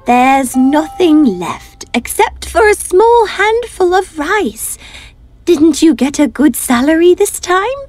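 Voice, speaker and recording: Woman acting a loving worried wife, high voice, slow, tender, emotive, storybook style. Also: animated, a little mellow, no echo, faint music behind, heavily acted. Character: loving, gentle, worried wife of a poor teacher. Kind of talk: animated storybook character acting.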